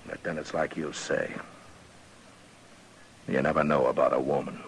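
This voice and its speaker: An actor playing a stern, weary American marshal of the Old West in 1950s radio western style, terse, commanding and grave. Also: male voice, rumbling low, fairly even intonation, normal speed, vocal fry. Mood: grave, accusing. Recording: noisy, dull old radio recording.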